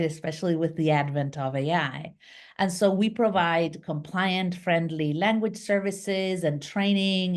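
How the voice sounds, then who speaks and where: A middle-aged woman from Argentina, a business founder, talking as a guest on a video call interview with lively hand gestures and many fillers. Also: sits low. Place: small home office, indoors. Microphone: video call webcam.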